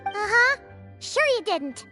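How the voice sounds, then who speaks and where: A woman voicing a tiny, squeaky, excitable and easily indignant childlike fairy companion in a video game. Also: slow, music in the background, full character voice. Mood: grumbling. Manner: refers to self in third person, exclaiming.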